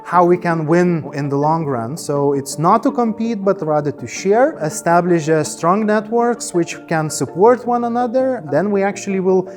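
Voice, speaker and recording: A woman's voice: on the low side, moderate pace, hardly any pauses, darkish tone. A woman giving an interview testimonial as a participant. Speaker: conference participant. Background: background music.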